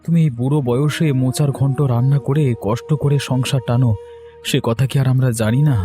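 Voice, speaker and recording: A man's voice: mid-range voice, fairly even intonation, fairly quick, warm tone, faint music behind, close to an everyday voice. A man voicing an earnest, troubled young husband in a cartoon story. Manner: downcast, earnest. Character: dejected young husband who has lost his job. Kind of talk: Bengali cartoon story dialogue.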